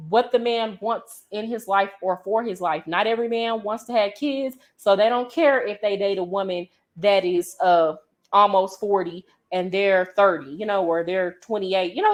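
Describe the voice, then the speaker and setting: A woman from the United States giving casual reaction commentary in a chatty, emphatic way with conversational slang. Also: medium pitch. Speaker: reaction vlogger and travel coach. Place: home in Colombia.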